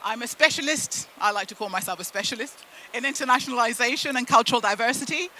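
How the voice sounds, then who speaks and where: A woman from the UK and Zimbabwe, a native Shona speaker, giving a motivational storytelling talk with deliberate phrasing and emphatic pauses. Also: fairly high pitch, pushed and bright. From United Kingdom and Zimbabwe. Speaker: keynote speaker at a business event.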